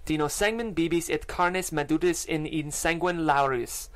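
A table read narrator delivers scripted stage directions from a screenplay. The voice is of medium pitch and is recorded on a studio microphone. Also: normal speed. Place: podcast studio. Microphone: studio microphone and headphones.

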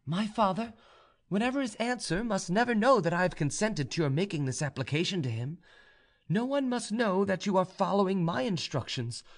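A man voicing a proud, commanding young woman in an audiobook, speaking in a deliberate, imperious way. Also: high voice, animated, voice pushed up. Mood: firm, commanding. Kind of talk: audiobook character dialogue.

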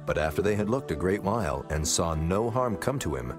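A male narrator with a very low voice reads scripture as scripted drama. His delivery is measured and solemn, and music plays clearly behind him. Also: animated.

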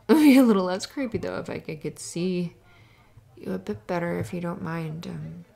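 Woman acting a flustered young cheerleader, creaky voice. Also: fairly low pitch, mellow. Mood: shy, friendly. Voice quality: creaky, often dropping to breathy whispers. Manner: halting, stammering, nervous little laughs. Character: flustered, sweet young cheerleader hiding her upset. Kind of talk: ASMR roleplay, spoken to the listener.

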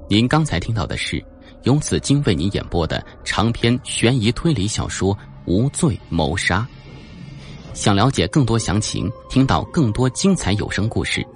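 A man narrating a suspense novel as a scripted, dramatic audiobook reading. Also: lowish voice.

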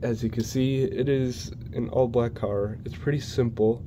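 Young man, stuffy from a cold, flat and halting home-video delivery, noticeable background noise. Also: mid-range voice. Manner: hesitant, many ums and restarts. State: congested from a cold. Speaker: diecast collector reviewing model cars. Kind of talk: casual unboxing vlog. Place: indoors at home, plain wall. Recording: noisy home recording.

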